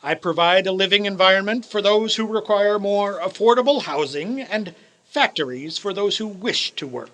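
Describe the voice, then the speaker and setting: A bitter old miser of a businessman, played by a man in a radio drama. The voice sits high for a man and has a hard, bright edge. He speaks formally and pompously, with cold scorn. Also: normal speed. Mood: dismissive. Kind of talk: stage radio drama acting.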